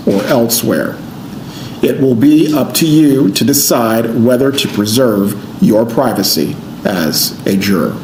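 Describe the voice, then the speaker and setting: An American man speaking as a judge, giving a formal, deliberate courtroom address. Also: mid-range voice, darkish tone. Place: indoor courtroom. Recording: echoey courtroom, faint noise.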